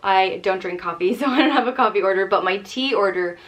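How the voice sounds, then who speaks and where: A woman's voice: mid-range voice, a little fast, a bit bright. A young woman from Ireland with an Irish accent tinged with Canadian, chatting casually as a vlogger, reading out questions and answering them with light laughs. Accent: Irish with a Canadian-tinged lilt. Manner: chatty, reads each question aloud then answers it, laughs lightly between thoughts.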